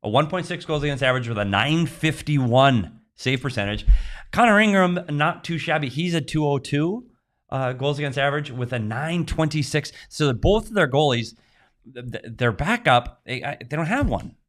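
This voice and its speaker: A man's voice: fairly high pitch, normal speed. Male hockey co-host, emphatic and restarting. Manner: repeats words, restarts, excited and emphatic. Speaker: sports show co-host. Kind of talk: casual hockey chat.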